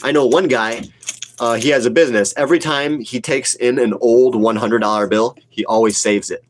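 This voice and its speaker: A man's voice: mid-range voice, fairly even intonation, moderate pace, a little hiss or hum. A man of about forty with a cold, chatting casually on a livestream in a blunt, opinionated way and repeating himself for emphasis. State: sick with a cold, sniffling. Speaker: livestream host, retail investor. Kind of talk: casual livestream chat, answering viewer comments.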